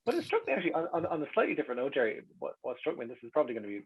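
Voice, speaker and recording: A man's voice: on the high side, huge pitch swings, racing, slightly rough. An Irish man chatting reflectively as an interview guest, wry and often interrupting himself. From Ireland. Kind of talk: casual reflective interview chat. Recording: muffled, radio-like call audio.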